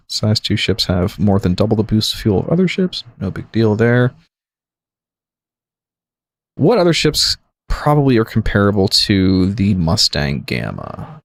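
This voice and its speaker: A man's voice: fairly low pitch, no echo. A man hosting a gaming livestream, thinking aloud in an analytical, jokey way with casual commentary. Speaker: livestream gamer, host.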